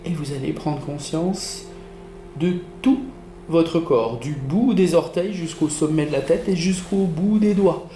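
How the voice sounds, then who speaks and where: Male yoga teacher for guided meditation: fairly high, slow, soothing delivery, roomy sound over soft music. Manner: soothing, measured instructions with pauses. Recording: roomy echo with music behind. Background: relaxation music.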